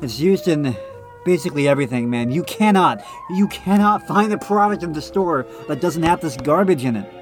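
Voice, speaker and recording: A man's voice: fairly high pitch, lively intonation, moderate pace, faint music behind. A man on a casual podcast with a slightly nasal voice, reading a text aloud and breaking off into blunt remarks of his own. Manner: reads passages then adds blunt off-the-cuff remarks. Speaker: podcast co-host.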